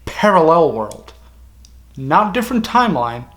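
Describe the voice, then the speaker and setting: Man, video host, casual reaction chat, halting delivery, stunned and exclamatory. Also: fairly high pitch, big pitch swings, a bit bright.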